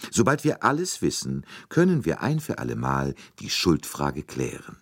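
A man voicing a shrewd, courteous gentleman detective, calm, deliberate and soothing, with bits of French, in an audiobook story. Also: very deep voice, vocal fry, husky, dry recording, studio quality, heavily acted. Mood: composed.

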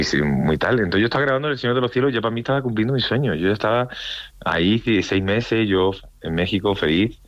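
A man with a very low voice and a mixed Andalusian, Castilian and Mexican accent, chatting in an interview. He tells his stories with animated energy and acts out what others said. The sound is close and dry. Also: no echo, lo-fi sound. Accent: mixed Andalusian, Madrid Castilian and Mexican Spanish. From Andalusia, Spain. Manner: animated storytelling, acts out quoted dialogue. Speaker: actor, interview guest. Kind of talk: casual interview chat.

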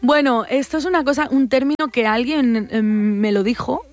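A woman's voice: high voice, normal speed. A young adult woman with a Spanish accent from Barcelona, a flamenco singer answering interview questions in a thoughtful, hesitant way, full of fillers and restarts. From Spain.

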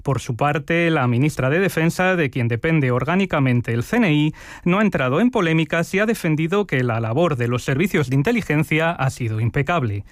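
A man's voice: on the high side, lively intonation, no room sound. Formal male news anchor, dry studio. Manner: measured, formal delivery. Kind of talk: scripted news reading.

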